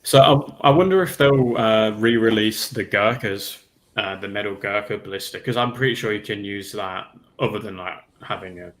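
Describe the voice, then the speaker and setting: Low voiced guy giving his takes in a casual hobby chat, bit of noise behind him. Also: moderate pace, a bit bright. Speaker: livestream panelist.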